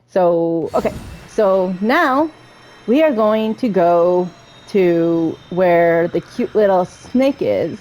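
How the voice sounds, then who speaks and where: A woman giving casual, chatty gameplay commentary, excitable and often interrupting herself. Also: fairly low pitch, slow, rough recording. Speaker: video game streamer playing through a story quest.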